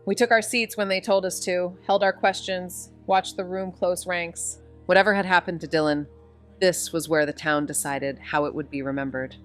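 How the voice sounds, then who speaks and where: A woman's voice: on the low side, fairly even intonation, moderate pace, soft background music, close to an everyday voice. A woman playing a sardonic, guarded young sleuth in a noir mystery, speaking and narrating in a terse, knowing way. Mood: somber, resolute. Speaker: narrator and lead character.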